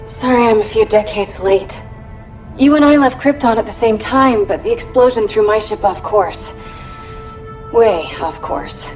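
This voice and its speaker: Woman doing a sweet earnest young hero girl, kinda high voice. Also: measured pace, darkish tone, lightly acted, poor audio. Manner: eager, heartfelt. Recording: game cutscene with music.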